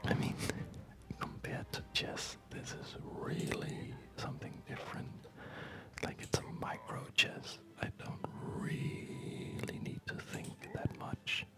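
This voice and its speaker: A man giving hushed, unhurried ASMR commentary on a game, trailing off into pauses. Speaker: host of an ASMR gaming channel. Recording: music running behind the whisper. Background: game music.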